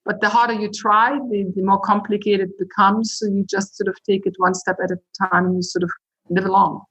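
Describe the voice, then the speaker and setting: A middle-aged woman, a university president, speaking in a measured, thoughtful way during an interview, often pausing on "sort of" and "uh". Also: mid-range voice, little pitch movement, a bit bright, breathy edge, poor audio. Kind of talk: reflective interview talk.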